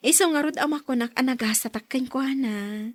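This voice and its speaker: A woman's voice: sits high, expressive, a little slow, no echo, studio quality. A woman acting an affectionate, thrifty young wife who is easily surprised, in an Ilocano-accented radio drama. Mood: teasing.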